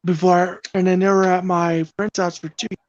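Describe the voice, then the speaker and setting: A man's voice: high-pitched, level tone, moderate pace, slight background noise. A man in his mid thirties, a guest in a casual livestream chat, easygoing and rambling. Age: 30s. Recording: dry muffled stream audio.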